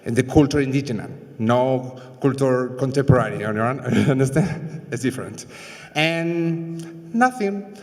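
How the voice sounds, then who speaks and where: A Chilean man, a fashion designer with Spanish as his first language, speaking slowly in halting English at a public event. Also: mid-range voice, a little mellow. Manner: halting, searching for English words. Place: event hall. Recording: reverberant hall.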